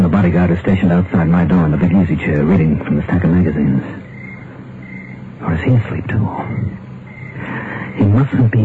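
An actor playing a frightened husband who believes a hired killer is after him, in a 1950s radio drama, talking in a feverish inner monologue. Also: male voice, fairly low pitch, close to an everyday voice. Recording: dull, hissy old radio broadcast with music.

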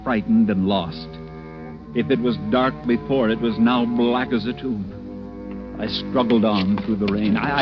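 An actor playing a frightened, polite lost tourist in 1940s radio drama style, stammering and hesitant. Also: male voice, medium pitch, close to an everyday voice. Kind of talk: 1940s radio drama acting, first-person narration. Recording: dull old radio broadcast with music.

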